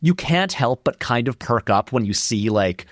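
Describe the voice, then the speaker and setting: A man's voice: mid-range voice, moderate pace, no room sound, clean studio sound. A man giving casual, conversational commentary as an interview guest, with restarts and lots of filler words. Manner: animated, acts out short quotes in passing; filler-heavy, frequent "like" and restarts. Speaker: interview guest, film video essayist.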